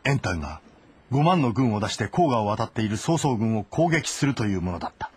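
An actor as the grave storyteller of a historical war epic, delivering scripted dramatic narration. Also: male voice, lowish voice, very animated, brisk, slightly rough. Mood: measured. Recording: music behind the voice.

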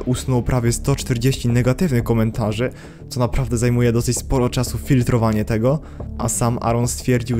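A man in his thirties hosting a crypto channel, giving measured critical commentary in a voice-over and speaking straight to his viewers. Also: mid-range voice, dark tone, a little hiss or hum.

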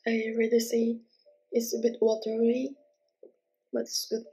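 A young woman hosting an ASMR mukbang, speaking softly and close up in a hushed, often half-whispered voice. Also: on the high side, level tone, measured pace, slightly rough. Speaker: mukbang host. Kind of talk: ASMR, soft-spoken to viewers. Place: small indoor living room, at a table.

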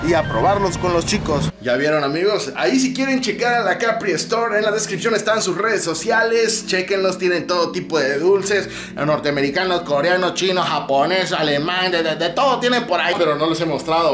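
A man in his thirties with a Mexican accent, vlogging in a loud, joking, animated way, filmed close up by his own camera. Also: on the high side, fairly quick, few pauses, music in the background. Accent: Mexican Spanish. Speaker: YouTuber. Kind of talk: excited vlog presenting. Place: small room with foam panels, and a store.

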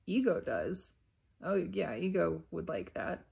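A woman giving an unscripted, reflective spiritual monologue in short, halting, repeated phrases, with a husky, creaky voice. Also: fairly low pitch, big pitch swings, darkish tone. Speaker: self-reflective spiritual vlogger.

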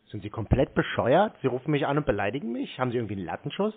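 A man's voice: medium pitch, sing-song, racing, breathy edge. Terse young man on a dull call. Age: 20s. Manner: terse, feigning confusion, provocative. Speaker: prank caller baiting phone scammers. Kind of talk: casual phone conversation. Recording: dull band-limited call recording.

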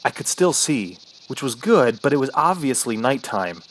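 An actor playing an injured, panicked man alone in the wilderness, narrating his ordeal in halting, theatrical radio horror style. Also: medium pitch, sing-song. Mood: tense, searching. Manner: halting, anguished, theatrical delivery. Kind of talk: dramatic first-person radio horror narration. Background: music.

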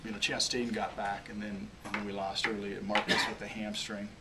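A man's voice: fairly low pitch, little pitch movement, fairly quick. A man in his forties, a head football coach answering questions at a postgame press conference, often restarting and repeating words as he searches for phrases. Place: small press room, in front of a logo backdrop. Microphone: table microphone on a stand. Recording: distant mic, audible room noise.

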